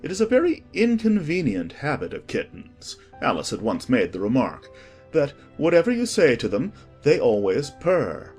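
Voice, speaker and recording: A man's voice: medium pitch, wildly swooping pitch, moderate pace, darkish tone, slightly rough, soft background music. A man reading a classic storybook as an audiobook narrator, in a scripted storytelling style.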